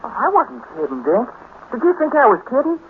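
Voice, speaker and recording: An actor playing an eager, adventurous schoolboy in an excitable, persuasive way, in 1950s radio drama style. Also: male voice, extremely high pitch, brisk, a little hiss or hum, exaggerated voice. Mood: excited, persuasive. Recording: husky, narrow old radio recording.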